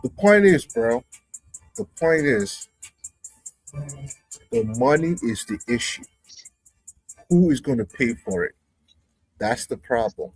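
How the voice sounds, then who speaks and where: Rambling husky man from New York. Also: medium pitch, very animated, slow, long pauses, no echo, soft background music, low-quality recording. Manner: rambling, self-correcting, argumentative. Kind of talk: casual group talk.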